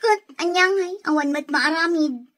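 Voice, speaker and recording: Radio drama role: spiteful bossy girl, Ilocano accent, very high, sharp tone. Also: moderate pace. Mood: sullen. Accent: Filipino, Ilocano.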